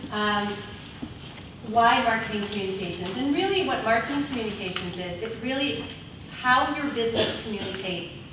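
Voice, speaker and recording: A woman presenting at a conference workshop with a loud voice. She takes audience questions and laughs often. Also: medium pitch. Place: conference room. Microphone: speaking without a mic. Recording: echoey room, far from mic, noisy.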